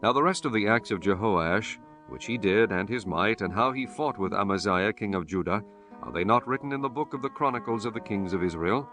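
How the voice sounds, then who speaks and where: Low-voiced man narrating scripture. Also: animated, fairly bright tone, soft background music. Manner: measured, formal reading. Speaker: audiobook narrator.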